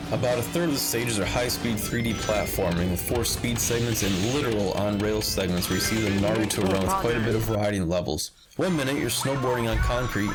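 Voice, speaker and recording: Over loud background music, a man reads a scripted comedic review. His voice sits on the low side, and he talks in a sardonic way with crude jokes as a game reviewer.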